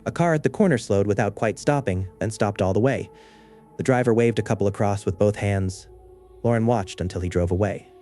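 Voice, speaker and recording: A man with a low, mellow voice tells a creepy small-town story in scripted first person. His delivery is measured and understated, with soft music faintly underneath. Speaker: narrator.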